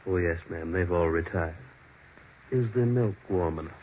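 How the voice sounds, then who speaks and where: An actor playing a formal, deferential, solicitous household servant in a 1940s radio mystery. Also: male voice, low voice, moderate pace, natural voice. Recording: tinny, noisy 1940s radio recording.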